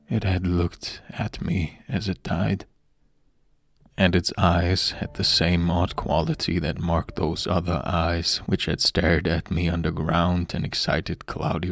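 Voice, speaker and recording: Deep, gravelly man narrating horror. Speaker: audiobook narrator. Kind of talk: dramatic horror story narration. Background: background music.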